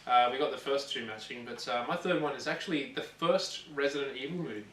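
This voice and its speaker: A man chatting casually as co-host of a gaming show, often halting and repeating himself as he thinks. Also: medium pitch, a bit bright. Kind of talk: casual chat about films and games. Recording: roomy, distant mic with faint noise.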